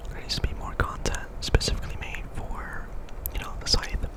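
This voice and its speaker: A man giving ASMR game commentary in a breathy, unvoiced whisper, often softly repeating words and phrases. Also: normal speed. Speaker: gaming video host.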